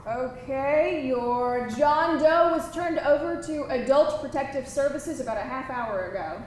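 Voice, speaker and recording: A brisk, matter-of-fact female worker, voiced by an actress at a script reading speaks in a high voice. The space is very reverberant, with faint noise. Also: darkish tone. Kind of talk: live screenplay table read. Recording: very echoey live room, faint noise.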